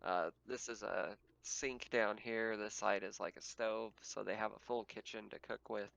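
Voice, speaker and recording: A man narrating a tabletop roleplaying game as game master, describing scenes in a casual, halting way. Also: on the low side, steady tone, gravelly, fairly bright tone.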